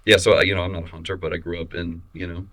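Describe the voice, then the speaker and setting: Very deep-voiced man, easygoing and halting. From Missouri, USA. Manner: relaxed, halting, many restarts and fillers. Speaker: photographer guest. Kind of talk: casual interview conversation.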